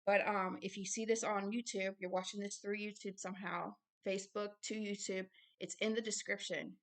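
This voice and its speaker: A woman's voice: medium pitch, little pitch movement, moderate pace. A woman giving informal religious commentary while reading aloud from a book, with emphatic repetition and colloquial asides. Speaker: online ministry host reading and commenting on a book.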